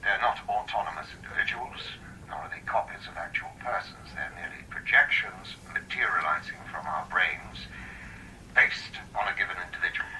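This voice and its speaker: A man's voice: sits low, moderate pace, bright, forceful tone, distant mic, slight background noise, low-quality recording. An actor playing a formal, lecturing male scientist in a radio drama, pedantic and strained.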